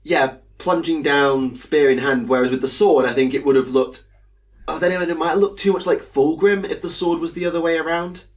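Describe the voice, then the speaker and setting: A man's voice: on the high side, steady tone, moderate pace. Young man, hesitant podcast co-host. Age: young adult. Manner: hesitant, lots of ums and uhs. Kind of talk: casual chat.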